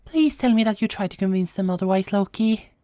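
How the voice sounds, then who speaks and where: A woman acting in a fantasy audio roleplay as an expressive goddess, sensible and playfully bossy. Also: mid-range voice, a little fast, no echo, studio quality, close to an everyday voice. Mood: incredulous.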